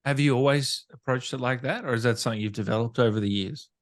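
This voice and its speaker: A man's voice: mid-range voice, fast. Man asking casual, attentive interview questions. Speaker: interviewer, podcast host.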